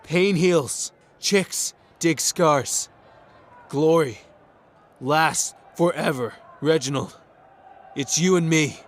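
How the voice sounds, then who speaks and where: Man playing a cocky, slow-talking teen quarterback. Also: on the high side. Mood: cocky, determined. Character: cocky, swaggering teenage football quarterback. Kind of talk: audio drama acting.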